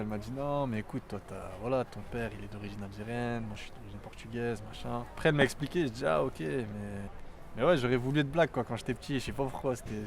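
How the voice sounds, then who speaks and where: Earnest young man, street noise behind. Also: mid-range voice. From France. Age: 20s. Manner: earnest and reflective, often pausing to think. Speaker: interviewee. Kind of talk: documentary interview talk.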